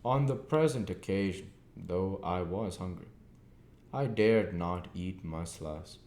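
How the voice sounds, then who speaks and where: A man narrating a classic storybook as an amateur reader, with deliberate, slightly halting phrasing. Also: sits low, darkish tone. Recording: echoey room, faint background noise.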